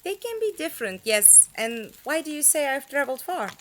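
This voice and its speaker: A woman playing a spirited, self-possessed traveller in an audio drama, speaking in a measured, questioning way. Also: high-pitched, very animated, fairly bright tone, slight background noise. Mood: composed, challenging.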